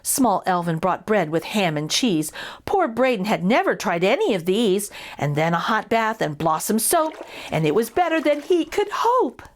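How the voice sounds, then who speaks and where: A woman's voice: medium pitch, very animated, normal speed. A woman reading a children's picture book aloud expressively, with little asides to young listeners. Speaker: children's story reader and host.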